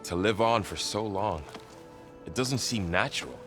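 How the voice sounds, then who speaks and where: Deep-voiced, thoughtful warrior companion. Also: male voice, animated, a little slow, music in the background. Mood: subdued, reflective. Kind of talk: naturalistic video game acting.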